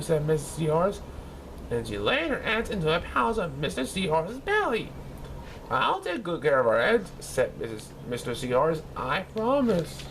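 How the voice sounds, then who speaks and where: A man voicing an excitable, childlike cartoon sea sponge, eagerly reading a children's storybook aloud and stumbling over words. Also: on the high side, creaky, slight background noise, full character voice, low-quality recording.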